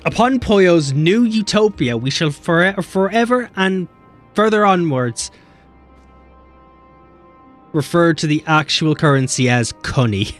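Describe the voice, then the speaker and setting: A man's voice: on the high side, soft background music. A man streaming a game, chatting casually and swearing as he bickers with chat. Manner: animated, swearing, quick banter with chat.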